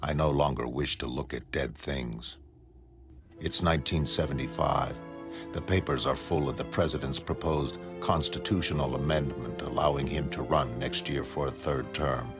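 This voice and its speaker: A man playing a detached, melancholy godlike superhuman who narrates a motion comic in a measured, solemn, flat manner. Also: extremely low pitch. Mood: detached, reflective. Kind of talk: first-person dramatic narration of a motion comic. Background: music.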